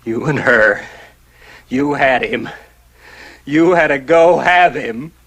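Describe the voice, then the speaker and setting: An actor playing a drunken, desperate townsman in a 1960s television drama, bitterly goading and defiant. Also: medium pitch. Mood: bitter, accusing. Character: drunken desperate townsman defying a feared child tyrant. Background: dramatic music.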